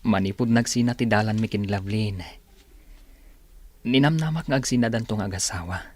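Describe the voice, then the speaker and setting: A man's voice: on the low side, fairly quick, close to an everyday voice. A man acting a melancholy, stubbornly devoted Filipino lover in a radio drama. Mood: melancholy, pining.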